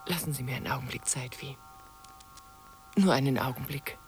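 An actress playing a yearning, flirtatious portrait sitter in a radio play, intimate and expressive. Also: female voice, a bass voice, normal speed, soft background music. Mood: yearning, coaxing. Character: yearning, flirtatious female portrait sitter, awed by her painter. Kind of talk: German radio play acting.

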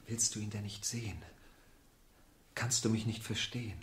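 An actor playing an earnest, fervent young idealist poet with formal, literary phrasing in a German radio play. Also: male voice, lowish voice, fairly even intonation, moderate pace, fairly bright tone, slight background noise, close to an everyday voice. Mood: shy, lovelorn. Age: young adult.

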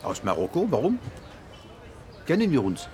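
An actor playing a chatty but wary Moroccan flea-market trader in a radio drama. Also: male voice, medium pitch, wildly swooping pitch, normal speed, a little mellow. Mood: wary, challenging.

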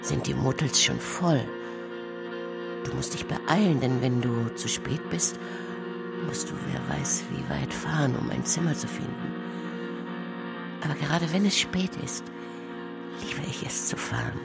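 Very deep, slow, creaky-voiced woman reciting. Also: sing-song. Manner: measured, fragmented phrases, at times whispered. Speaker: performer in an art radio drama. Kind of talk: poetic radio-play recitation. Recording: voice under loud instrumental music. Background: loud instrumental music.

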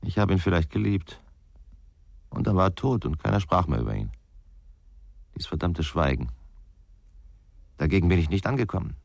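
An actor playing a tipsy, brooding middle-aged ex-policeman in a German radio crime drama, speaking in a halting, ruminative way. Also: low-pitched, expressive, gravelly, a little mellow, dry recording, clean studio sound, exaggerated voice.

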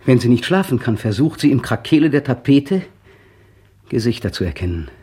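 An actor playing an anxious young man in a radio play monologue, brooding and restless, talking to himself in a husky, breathy voice. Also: medium pitch, sing-song, a little fast, warm tone. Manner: restless, swings from muttering to feverish elation.

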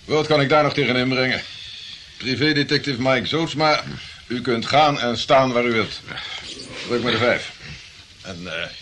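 An actor playing an obliging, apologetic police commissioner in a radio drama, formal and conceding. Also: male voice, mid-range voice, normal speed, pauses often, a bit bright, slight background noise, close to an everyday voice.

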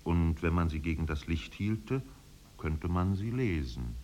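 An actor narrating a 1950s German radio play as a solemn, contemplative storyteller with measured, poetic delivery. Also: male voice, low-pitched, expressive, a little mellow. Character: solemn, contemplative male storyteller of island exiles. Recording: faintly noisy 1950s radio recording.

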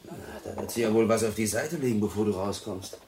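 An actor playing a coarse, impatient prison inmate in a radio drama, grumbling bluntly and sarcastically. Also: male voice, lowish voice, fast, lightly acted. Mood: prying.

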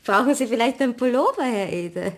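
A friendly neighbor woman in a radio play. She speaks in a fairly high voice. She sounds obliging and brisk. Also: sing-song. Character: friendly Bavarian neighbor woman who knits.

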